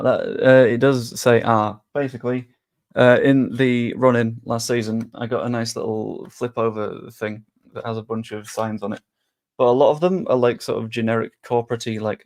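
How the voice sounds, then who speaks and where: A young Englishman chatting easily on a live stream, answering viewer questions with loose British slang. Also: medium pitch. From England. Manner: chatty and easygoing, with frequent fillers and British slang.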